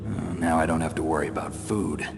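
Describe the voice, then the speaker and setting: An actor playing a cynical, dry-witted veteran soldier in a video game, gruff, gravelly and terse. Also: male voice, rumbling low, brisk. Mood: sardonic. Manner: terse, deadpan, sardonic. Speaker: special forces soldier. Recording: very reverberant hall, loud background noise.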